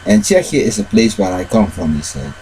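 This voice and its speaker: A man with a deep, dark voice tells a winding story at his own pace, halting often on fillers and loose phrasing. He keeps the tale going by slipping into the voices of the people in it. A faint hum sits behind him. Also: wildly swooping pitch. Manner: retells events with shifts into quoted dialogue. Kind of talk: rambling personal storytelling.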